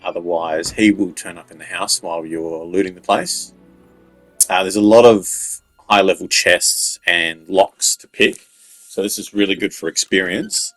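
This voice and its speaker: A man with a low voice talks through a game walkthrough casually, pausing often as he goes. The recording is a little roomy, with faint music underneath. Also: steady tone, a bit bright. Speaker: gaming video creator giving walkthrough tips. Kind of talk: casual unscripted game commentary.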